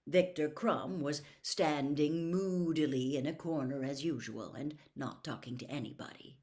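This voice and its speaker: A woman reading a storybook aloud as an expressive narrator. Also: low-pitched, a little slow. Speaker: audiobook reader.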